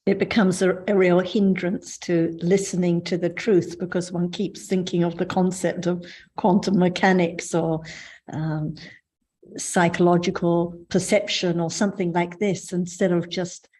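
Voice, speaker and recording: A woman moderating a discussion of Buddhist teaching, speaking in a measured, thoughtful way over a video call. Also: lowish voice, little pitch movement, warm tone. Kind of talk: calm explanation and moderating.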